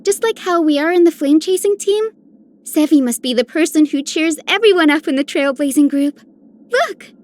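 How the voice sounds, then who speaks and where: High-voiced, bubbly young heroine. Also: female voice. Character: cheerful, affectionate, caring young heroine. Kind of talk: scripted game character lines.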